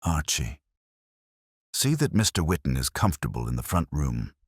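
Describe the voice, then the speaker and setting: A man's voice: rumbling low, very animated, moderate pace, breathy edge, dry recording, studio quality, heavily acted. A man voicing a large, imperious older detective in an audiobook, speaking formally and tersely. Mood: grave, commanding. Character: large, brilliant, imperious older male detective, grumpy and deliberate.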